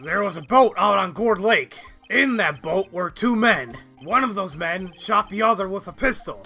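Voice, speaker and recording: A man voicing a gruff, blustering but loyal police detective in an amateur video game dub, brash and folksy. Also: sits high, animated, normal speed, pushed and bright, faint music behind, heavily acted. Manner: brash, folksy, calls people pal.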